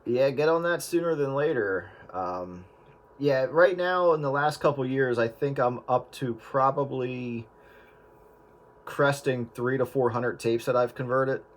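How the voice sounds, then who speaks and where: A man with a mid-pitched voice talks through practical advice in an easygoing, explanatory way. He hosts a casual radio chat and often pauses with fillers. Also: noisy background. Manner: relaxed, explanatory, frequent fillers. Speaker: radio show host. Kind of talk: casual chat about converting old media.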